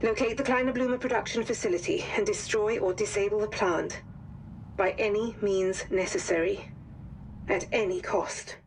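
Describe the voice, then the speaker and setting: A woman's voice: mid-range voice, fairly even intonation, normal speed, slight background noise, natural voice, rough recording. Grave, formal wartime intelligence briefing officer played by a woman. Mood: grave, urgent. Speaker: mission briefing narrator. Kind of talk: scripted dramatic briefing narration.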